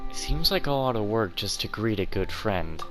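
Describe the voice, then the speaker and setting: A man's voice: on the low side, expressive, moderate pace. A young man giving casual, slangy, joking commentary as he plays through a video game. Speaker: gaming video host. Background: faint game music.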